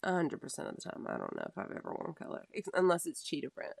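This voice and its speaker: A woman co-hosting a casual podcast, chatty and quick to laugh. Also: deep voice, wildly swooping pitch, creaky, breathy edge, dry recording, clean studio sound. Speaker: podcast co-host.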